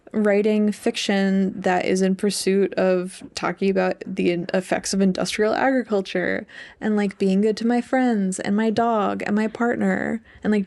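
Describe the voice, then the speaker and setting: Young woman podcaster with vocal fry. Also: medium pitch, darkish tone. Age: young adult. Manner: conversational, affirming, frequent "like". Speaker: podcast co-host, English PhD student. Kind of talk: casual podcast chat.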